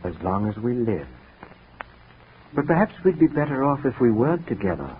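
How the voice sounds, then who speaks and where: An actor playing a brooding, restless composer brother in a formal, theatrical 1940s radio drama style, on a muffled old recording. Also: male voice, mid-range voice, big pitch swings, normal speed, slight background noise, natural voice.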